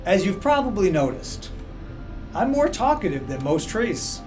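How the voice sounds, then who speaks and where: A man reading a children's book aloud in a measured, expressive way. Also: high voice. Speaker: story reader. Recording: music playing behind. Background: background music.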